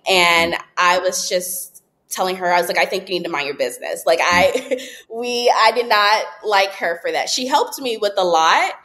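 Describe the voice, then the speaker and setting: A woman in her late twenties telling her story as a podcast guest, candid and relaxed, with frequent ums and likes. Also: medium pitch. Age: 20s. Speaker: podcast guest, app founder. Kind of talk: casual interview storytelling.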